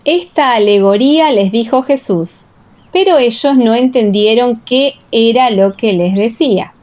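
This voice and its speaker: Woman reading scripture like a radio play, solemn n careful, highish voice, very echoey. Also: measured pace, a little mellow. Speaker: audiobook narrator. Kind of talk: dramatized scripture narration. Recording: echoing, long reverb.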